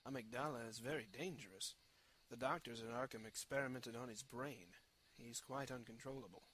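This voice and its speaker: A man's voice: medium pitch, fairly bright tone, close to an everyday voice. Man playing a polite villain, noisy recording. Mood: confiding. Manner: formal, courteous, deliberate. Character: mild, polite, unhinged ventriloquist villain. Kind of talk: fan-dub audio drama acting.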